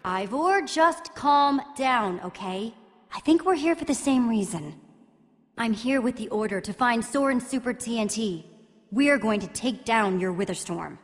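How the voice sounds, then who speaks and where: A brave, confrontational young heroine from a video game. She speaks directly and urgently in a slightly high voice with lively swings. There is hall reverb around her. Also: unhurried. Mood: earnest.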